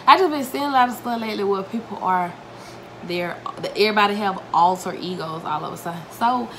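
A woman's voice: mid-range voice, fairly quick, pushed and bright, poor audio. A young woman, chatting to viewers while eating, a little congested from a cold, recorded at home. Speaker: mukbang vlogger. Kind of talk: casual chat while eating. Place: home dining room, indoors. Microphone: camera mic at table distance. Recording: home recording with noticeable room noise.

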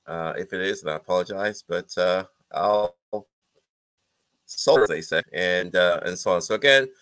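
A middle-aged man with a slightly sore throat, teaching informally to camera in a conversational, halting way, repeating phrases as he goes. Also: sits low, a bit bright, dry recording. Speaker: host, real estate investing coach. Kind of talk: informal online lesson to camera.

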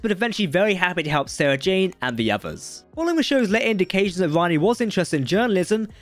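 A man narrates a scripted explainer in a high, very lively voice. His phrasing is sing-song, falling away as sentences close. The voice-over is close and dry, with music playing underneath. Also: no room sound. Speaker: video presenter. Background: background music.

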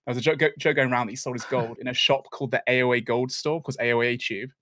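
A man in his twenties from England, a podcast guest telling stories on a video call, relaxed and laughing often. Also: medium pitch, rapid-fire. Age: 20s. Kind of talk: casual podcast chat.

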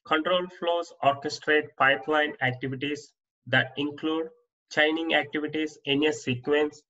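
A man teaching as an instructor, reading interview answers off slides in a plain, steady way with small restarts. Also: on the high side, normal speed, lo-fi sound. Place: voice-over on slide presentation.

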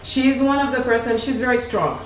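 Haitian-accented woman nutritionist, animated casual talker, a bit off-mic in a roomy kitchen. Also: a little high, fairly quick. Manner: animated, laughs often, restarts sentences. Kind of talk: casual chat. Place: home kitchen, indoors. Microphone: desk mic on tripod, camera at a distance. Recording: echoey room, voice from a distance.

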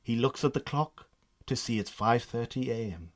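A man's voice: medium pitch, animated, moderate pace, lightly acted. A man narrating a scripted radio drama in a theatrical storytelling manner.

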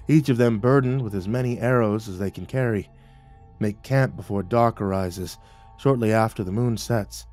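A solemn male ancestor spirit as acted out by a male storyteller speaks with quiet gravity and a dry, teasing streak. He is an audiobook figure, wise and unhurried in temper. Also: fairly low pitch, no room sound, close to an everyday voice.

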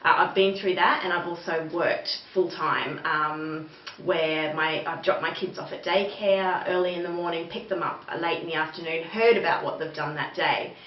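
A woman's voice: low voice, fairly even intonation, moderate pace. A middle-aged woman with an Australian accent giving an informal webinar, explaining things in a conversational way. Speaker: webinar presenter. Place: small indoor room with bare wall, seated. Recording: roomy, distant mic, dull sound, faint noise.

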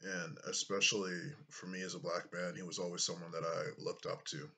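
A man in his thirties with a deep voice, talking to his webcam in a casual vlog from a small room, unhurried and thoughtful, with many fillers. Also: flat delivery, bright, forceful tone. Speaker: YouTuber.

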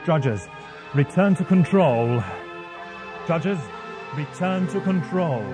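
A man's voice: on the high side, animated, takes it slowly, music in the background. A man playing a commanding controller giving orders in an audio drama.

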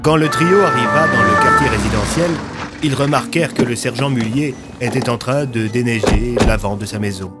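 A man's voice: medium pitch, normal speed. A young man narrating a scripted audio story as its storyteller. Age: young adult. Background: music.

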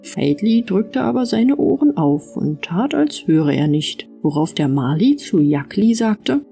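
Woman telling fairy tales with lively, swooping intonation. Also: mid-range voice, a little slow, gravelly, soft background music. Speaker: audiobook narrator. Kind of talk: expressive fairy-tale storytelling.

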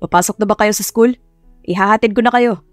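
A woman's voice: mid-range voice, quick pace, no echo, faint music behind, natural voice. Woman as a young male driver, cocky, teasing, cheerful, breezy, audiobook suitor, dry recording. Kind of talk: dramatized romance audiobook.

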